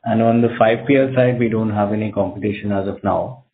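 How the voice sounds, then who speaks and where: A company executive, a man, answering on an earnings call in a measured, explanatory, hesitant way over a tinny phone line. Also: on the low side, steady tone. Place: conference call. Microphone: telephone.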